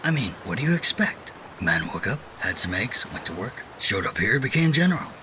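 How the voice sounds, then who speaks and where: A grumpy male soldier, the dry straight man of an audio drama. His voice is bright and lively, full of irritable sarcasm, over faint ambient noise. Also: lowish voice, a little fast. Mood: exasperated. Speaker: voice actor.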